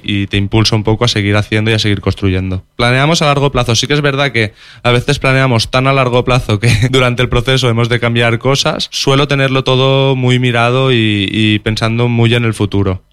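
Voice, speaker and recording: A young man with a Valencian accent, Valencian as his first language, answers interview questions about his music. He speaks thoughtfully, often restarting and repeating phrases. His voice is smooth and clear, recorded close and dry. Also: medium pitch, fairly even intonation, a little fast, a bit bright, dry recording.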